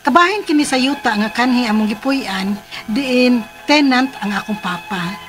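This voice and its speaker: A woman's voice: on the high side, lively intonation, normal speed, music in the background, natural voice. An actress playing a worried young married woman, a farmer's daughter, in a radio drama with a Cebuano Filipino accent. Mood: earnest, narrating. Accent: Filipino, Cebuano-speaking. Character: worried 32-year-old married farmer's daughter telling her story.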